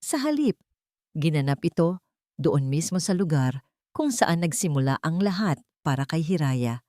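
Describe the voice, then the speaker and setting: A woman narrating a romantic audio drama in a steady, scripted storytelling voice. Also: sits low, normal speed, no echo, clean studio sound.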